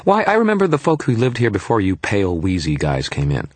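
A tiny male fairy from a fantasy audiobook, pitched well above the narrator's natural voice. He sounds like a haughty, greedy little kid, indignant and grandiose, forever complaining. The recording is close and dry. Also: wildly swooping pitch, fast, dry recording. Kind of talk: audiobook character voice.

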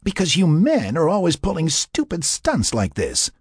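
An exasperated woman settler in an audiobook, acted out by a man. The voice is raspy and raised, with very wide swings in pitch. She is stubborn, defiant and scolding. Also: wildly swooping pitch, moderate pace, darkish tone, dry recording. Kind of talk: audiobook character dialogue.

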